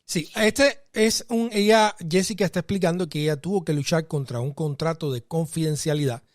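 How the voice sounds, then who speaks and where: Man, interview host, courteous and measured, slight hesitations, dry close sound. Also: on the high side, dry recording. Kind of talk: formal interview.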